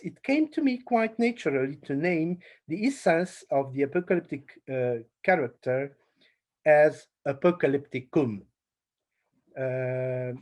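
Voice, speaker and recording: A man with a Hungarian accent presenting an academic paper, slow and halting, pausing often on fillers. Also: a little high, darkish tone. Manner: slow, halting delivery with frequent filler pauses. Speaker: conference speaker.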